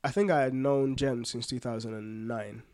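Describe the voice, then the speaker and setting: A man with a London accent and a medium-pitched voice chats as a podcast co-host. His delivery is informal and full of slang, like someone telling personal stories to friends. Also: steady tone, moderate pace, darkish tone, slight background noise. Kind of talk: casual chat, personal anecdotes.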